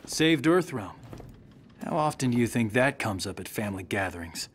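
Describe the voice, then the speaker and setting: A man's voice: fairly low pitch, sing-song, normal speed, a little hiss or hum. A young man playing a cocky warrior in a video game, talking in a dry, sardonic, probing way. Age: young adult. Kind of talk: video game cutscene acting.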